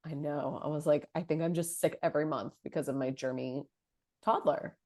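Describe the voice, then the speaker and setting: Low-voiced woman with a stuffy cold. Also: fairly even intonation, brisk, darkish tone. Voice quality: deep voice. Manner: chatty, self-deprecating, filler-heavy. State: nasal from a head cold. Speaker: podcast co-host. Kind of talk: casual chat.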